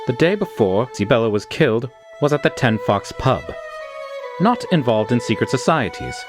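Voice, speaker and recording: A suave demon butler acted by a man in an anime dub. He is formal, polite and utterly composed, with a lively, swooping delivery. Music plays behind the voice. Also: medium pitch, normal speed, close to an everyday voice. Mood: brisk reciting. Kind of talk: anime-style manga dub acting.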